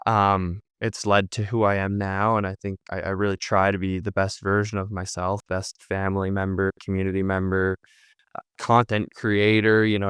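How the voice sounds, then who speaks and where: Clear-voiced man reflecting earnestly, low pitch. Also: a bit bright, no echo, studio quality. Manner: earnest, repeats and rephrases points, often says "you know". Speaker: podcast guest, content creator. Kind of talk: reflective conversational interview answers.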